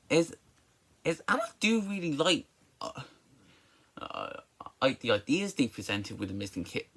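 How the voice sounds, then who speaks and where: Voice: mid-range voice, a bit bright. A person giving a casual, opinionated movie review in an exasperated, emphatic way, often stammering and repeating words and syllables.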